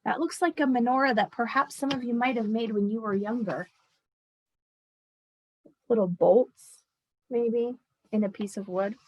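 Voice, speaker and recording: A woman reading a children's story aloud expressively, pausing to explain and speak directly to her listeners. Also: a little high, lo-fi sound. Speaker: storyteller reading a picture book aloud to an audience.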